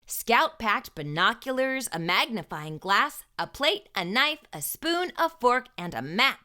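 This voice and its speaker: A woman reading a storybook aloud to young children, with expressive, emphatic delivery and deliberate phrasing. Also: on the high side.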